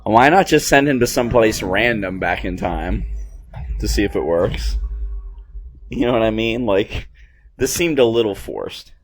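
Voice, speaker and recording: Lower-voiced man chatting casually. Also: expressive, unhurried. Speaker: film commentator. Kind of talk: casual reaction commentary over a movie.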